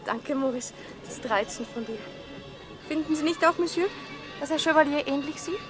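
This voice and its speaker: An actress playing a lively, wheedling young sweetheart in a 1960s German radio play, with a girlish, chattering manner. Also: high-pitched, normal speed, natural voice. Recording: 1960s radio recording, music behind.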